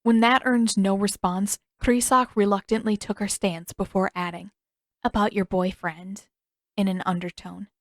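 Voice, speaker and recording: A woman reading scripted fiction as an expressive storytelling narrator. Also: mid-range voice, moderate pace, hardly any pauses, no room sound, studio quality.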